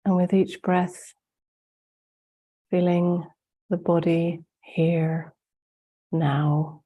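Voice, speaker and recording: Female spiritual teacher, congested from the flu, slow and even, calm guiding delivery on a video call. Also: lowish voice, narrow, tinny audio. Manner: slow, soft-spoken, gently guiding. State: congested, getting over the flu. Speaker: spiritual teacher hosting a webinar. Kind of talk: guided meditation and talk.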